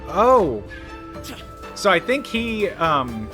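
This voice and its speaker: A man giving casual, enthusiastic reaction commentary, halting as he thinks aloud. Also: sits high, very animated, over music. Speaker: reaction video host, gamer.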